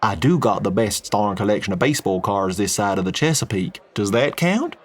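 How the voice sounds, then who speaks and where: A man's voice: lowish voice, animated, fairly quick. A man voicing a blunt, boastful middle-aged oil tycoon. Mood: gruff, folksy. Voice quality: folksy drawl, tobacco-spitting. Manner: loud, plain-spoken, boastful.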